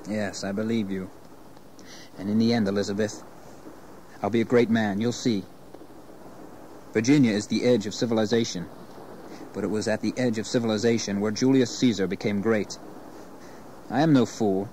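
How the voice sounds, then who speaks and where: An actor playing an ambitious, proud young English gentleman settler in a historical audio drama. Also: fairly low pitch, fairly even intonation, normal speed, pauses often, lightly acted. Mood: resolute, proud. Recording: noisy 1980s audio drama tape.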